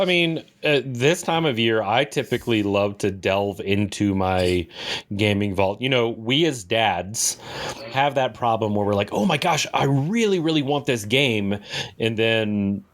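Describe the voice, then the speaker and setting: A man's voice: on the low side, measured pace. A man co-hosting a podcast, chatting casually and informally about games. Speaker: podcast co-host. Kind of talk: casual gaming chat.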